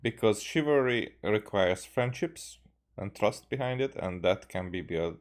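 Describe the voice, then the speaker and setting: Low-voiced man, halting game commentary. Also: lively intonation. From Hungary. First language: Hungarian. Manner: halting, stammering, earnest. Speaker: game streamer. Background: game music.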